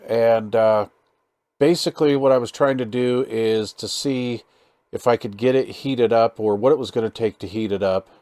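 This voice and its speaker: A man with a mid-pitched voice presents a hands-on hobby demonstration in a casual, unscripted way. His delivery is halting and easygoing, with folksy phrasing and a habit of muttering to himself. The sound is dry, with little room echo. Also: mellow.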